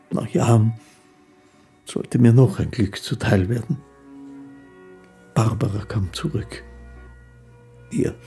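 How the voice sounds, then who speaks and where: An older man, an actor playing a humble, gentle poor street musician telling his life story in a radio drama. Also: lowish voice, gravelly. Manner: gentle, unhurried, modest.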